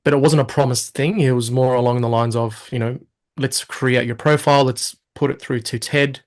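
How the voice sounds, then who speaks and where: A man in his thirties chats as an interview guest, his voice sitting at an everyday middle pitch. He tells his story with energy, slipping into quick acted-out asides of what he thought at the time. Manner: animated storyteller, quotes himself in lively asides. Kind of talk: casual interview talk.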